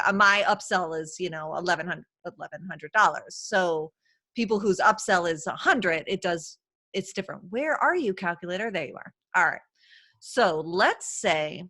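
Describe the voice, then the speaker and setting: A woman in her thirties talks casually over a video call, her voice bright and forward. She chats loosely, full of fillers and quick asides, at an ordinary middle pitch. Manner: chatty, laughing, lots of fillers. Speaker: host. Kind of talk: casual live-stream chat.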